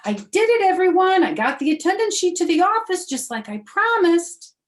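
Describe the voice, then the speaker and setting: A bossy little schoolgirl, played by a woman reading a storybook, pitched well up and pushed loud. She sounds self-important and eager to please, stressing her boasts with showy pride. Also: big pitch swings, normal speed. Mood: proud, showy. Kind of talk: children's storybook character voice.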